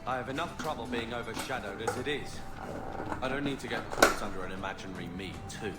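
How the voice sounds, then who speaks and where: A man's voice: medium pitch, fast talker, close to an everyday voice. An actor playing a resentful, prickly young man, a younger brother in a video game. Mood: defensive. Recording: roomy sound under loud music.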